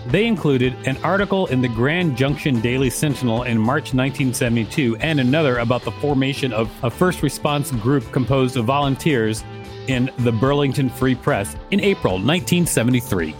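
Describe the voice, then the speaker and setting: A man co-hosting a podcast, reading encyclopedia text aloud. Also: medium pitch. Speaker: podcast co-host and reader.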